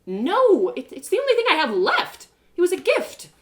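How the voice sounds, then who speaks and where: A woman's voice: very high-pitched, wildly swooping pitch, normal speed, background noise, voice pushed up, heavily acted. A woman playing a spirited, awkward young girl in an audio drama, earnest and easily flustered. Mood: panicked.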